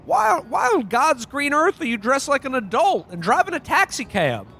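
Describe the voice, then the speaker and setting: A man's voice: very high voice, sing-song, moderate pace, vocal fry, pushed and bright, a little hiss or hum, full character voice. A man playing a bewildered, frantic everyman in a radio-play parody, stammering and full of old-fashioned folksy exclamations. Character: frantic, bewildered everyman in an alternate Christmas reality.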